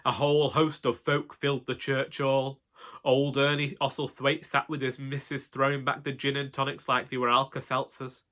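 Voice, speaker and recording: A man's voice: mid-range voice, level tone, moderate pace, pushed and bright. A man with a Northern English accent narrating a comic first-person story in a wry, colloquial way. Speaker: audiobook narrator.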